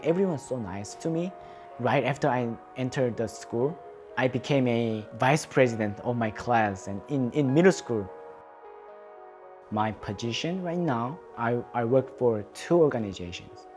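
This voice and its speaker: A young man from North Korea with a Korean accent, speaking English as a second language in an earnest, halting way, often restarting his sentences. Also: on the low side. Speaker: advocacy speaker. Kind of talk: interview testimony.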